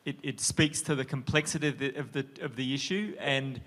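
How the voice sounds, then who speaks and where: Halting male judge giving feedback. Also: medium pitch, fairly bright tone. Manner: measured, halting with fillers. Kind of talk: panel feedback.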